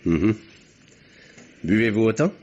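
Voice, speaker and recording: A shrewd country doctor presses his patient with insistent questions. His deep voice swings widely in pitch and sounds slightly husky. The old radio recording is dull and muffled. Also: animated, slow, a little mellow. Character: shrewd country doctor, middle-aged man, probing and firm. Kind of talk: 1950s French radio drama acting.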